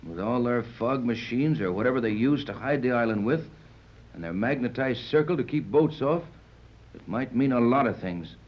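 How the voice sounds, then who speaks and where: A man's voice: medium pitch, animated, normal speed, natural voice. Man playing a commanding yacht captain, muffled recording. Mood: grave, measured. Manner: clipped, authoritative orders. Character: commanding, level-headed male yacht captain and adventurer. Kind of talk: 1930s radio serial acting. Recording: dull, muffled 1930s radio recording.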